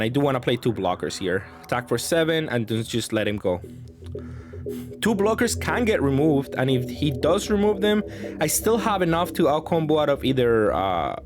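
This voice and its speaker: A man giving casual card game commentary, thinking aloud and hedging his choices as he plays. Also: medium pitch.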